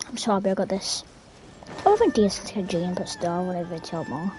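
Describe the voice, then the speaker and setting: A woman's voice: fairly low pitch, animated, quick pace, noisy background. A woman chatting casually as she plays a video game, rambling and muttering asides that slip into whispers. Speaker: gamer narrating her own gameplay. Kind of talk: casual gameplay chat. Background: video game music.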